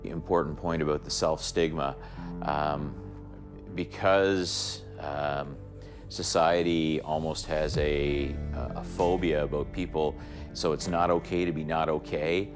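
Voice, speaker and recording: A man in his fifties speaks earnestly in a documentary interview, his very deep voice soft and halting as he repeats words to find the right ones. Clear music plays underneath. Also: fairly even intonation. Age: 50s. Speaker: retired Air Force officer sharing his experience. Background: background music.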